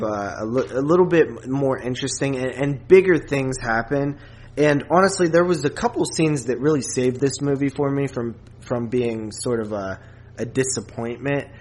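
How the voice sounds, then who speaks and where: Man chatting casually, halting as he goes. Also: medium pitch, a little mellow. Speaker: podcast co-host. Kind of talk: casual film review chat.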